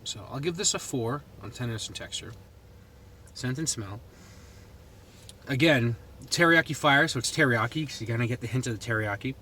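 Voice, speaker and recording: A man's voice: mid-range voice, normal speed, pauses often, pushed and bright. A man with a Boston accent reviewing food in casual, rambling chat to the camera, thinking aloud as he goes. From New England, United States. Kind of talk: casual chat to camera while taste-testing. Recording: noticeable background noise.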